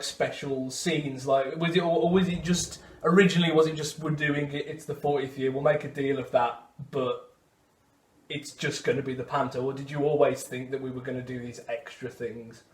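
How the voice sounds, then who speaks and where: A man's voice: a little high, fairly even intonation, normal speed, a little mellow, reverberant. A man presenting and interviewing in a casual, informal way.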